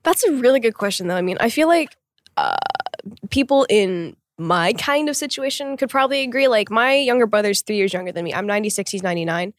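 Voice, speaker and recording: A woman's voice: mid-range voice, no echo. A woman in her twenties co-hosting a podcast, chatting casually and teasing her co-host playfully. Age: 20s. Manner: playful, quick to laugh, lively teasing. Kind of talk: casual chat.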